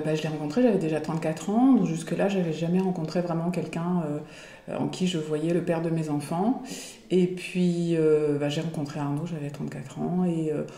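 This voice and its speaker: A woman's voice: low-pitched, creaky, warm tone, echoey room. A woman in her forties chatting in an interview, hesitating often as she talks. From Belgium. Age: 40s. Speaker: guest. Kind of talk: casual interview chat.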